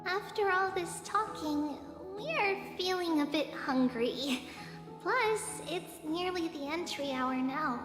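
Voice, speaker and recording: A small, gentle demigod girl from an anime game, wise and wistful beyond her looks. The voice is high and childlike, with slow, measured phrasing. It rings in a long hall reverb with music underneath. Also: animated, full character voice. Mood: playful, caring.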